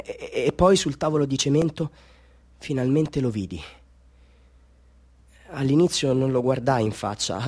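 An actor playing a chatty small-town Bolivian street photographer who hustles tourists with stories, in a radio theatre monologue, theatrical and conspiratorial. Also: male voice, medium pitch, breathy edge. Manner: conspiratorial, salesman-like, theatrical, halting asides to listeners.

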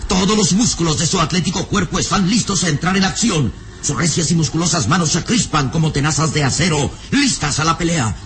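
An actor as a grave, suspenseful narrator, delivering emphatic scripted radio serial narration. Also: male voice, fairly high pitch, brisk, fairly bright tone, slight background noise.

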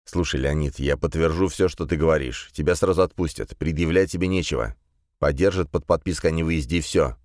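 A man's voice: extremely low pitch, fairly quick, darkish tone, no room sound, studio quality. A man playing a cornered, businesslike grave-robber who persuades urgently, as in an audiobook. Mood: urgent, bargaining.

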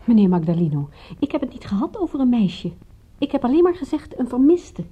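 An actress in a radio drama playing a private detective's shrewd, guarded assistant, with a dry, sardonic manner. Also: female voice, a little high, very animated, a little fast, dark tone, breathy edge. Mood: firm, rebuking. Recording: muffled, dull old radio recording.

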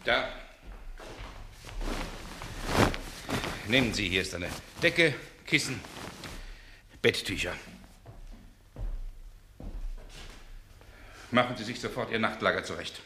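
A domineering, short-tempered gentleman from a radio play. His voice is tense and bright, swinging widely in pitch as he scolds and commands with open contempt. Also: lively intonation, normal speed, slight background noise. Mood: bossy, impatient. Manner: forceful, impatient, contemptuous, commanding. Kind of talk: German radio play acting.

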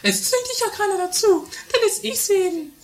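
A woman's voice: very high-pitched, expressive, normal speed, faint music behind. A woman playing a scatterbrained, dramatic castle-dwelling sister in a comedy radio play, naive and theatrical. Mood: breezy.

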